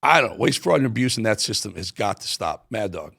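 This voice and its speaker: Animated, gravelly American man hosting political talk. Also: lowish voice, quick pace. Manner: emphatic, repeats phrases for punch. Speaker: talk show host. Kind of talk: opinionated political commentary on viewer voicemails.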